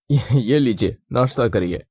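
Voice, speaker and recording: A man voicing the men of a cartoon family tale, in an expressive, exclamatory way. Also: mid-range voice, slow, slightly rough, no echo. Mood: welcoming. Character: men of a family tale: father, groom, priest. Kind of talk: animated moral-story dialogue.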